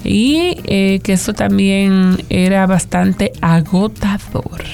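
A woman's voice: medium pitch, steady tone, gravelly, darkish tone. A woman co-hosting an entertainment show, relating celebrity gossip with hesitations and wry asides. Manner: slow, hesitant, many "eh" fillers, wry asides.

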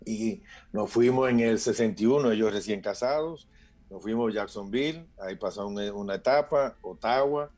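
Dominican man in his fifties, low voice, relaxed storyteller who acts out quotes; casual talk host. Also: normal speed. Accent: Dominican Spanish. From Dominican Republic. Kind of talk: casual chat.

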